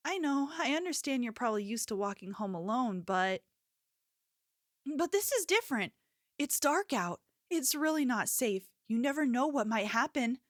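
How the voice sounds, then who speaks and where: A woman performs an obsessive yandere cashier in an ASMR roleplay. Her voice sits fairly high and moves slowly, pleading and unsettlingly sweet as she clings possessively to her listener. The sound is dry and intimate. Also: dry recording. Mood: worried, pleading. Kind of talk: ASMR roleplay, scripted character acting.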